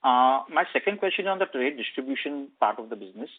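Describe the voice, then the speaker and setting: A man's voice: mid-range voice, animated, fast talker, husky. A man with an Indian accent politely putting questions as an analyst on an earnings call. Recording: narrow telephone conference line.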